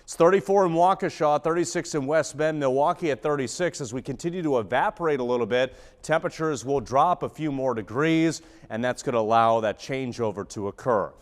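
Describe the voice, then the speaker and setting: Male American TV weather forecaster, explanatory delivery, mid-pitched voice, long studio reverb. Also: brisk, few pauses. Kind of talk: broadcast weather forecast, ad-libbed.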